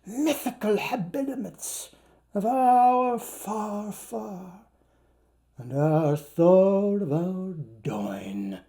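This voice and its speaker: An Irish actor reads literary prose aloud in a mellow, unhurried voice. His delivery is theatrical and playful, stretching words out as he declaims to a live audience. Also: high voice, lively intonation.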